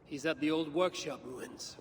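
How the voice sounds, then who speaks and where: An actor playing a weathered, wary Norse god-warrior, gravelly, grave and measured, in a dramatic video game cutscene. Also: male voice, on the high side, a crowd in the background, natural voice. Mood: commanding.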